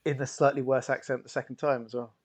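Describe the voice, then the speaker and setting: A man's voice: medium pitch, little pitch movement, quick pace. A man co-hosting a tabletop game stream, chatting casually, laughing often and thanking guests as he signs off. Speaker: co-host and player. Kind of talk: casual end-of-stream chat.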